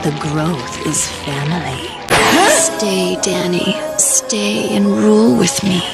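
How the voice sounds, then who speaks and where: Plant monster queen, ominous, seductive, commanding, deep for a woman, drawn-out speech. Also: gravelly, over loud music. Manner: slow, ominous, imperious. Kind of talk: dramatic creature acting.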